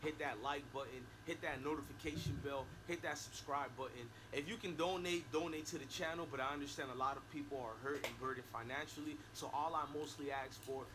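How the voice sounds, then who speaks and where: A man's voice: fairly high pitch, fairly even intonation, brisk, few pauses, background noise. A middle-aged man with a Haitian accent, giving a blunt, profane video commentary with mocking laughs. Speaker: YouTube commentator. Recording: echoey room, far from mic.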